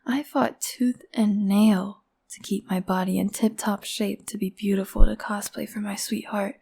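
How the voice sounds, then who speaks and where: A woman's voice: mid-range voice, flat delivery, a little slow, gravelly, warm tone, slightly rough. A woman playing a smug, possessive kidnapper sister in a comedic audio roleplay, condescending and cooing, often close to a whisper. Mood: offended.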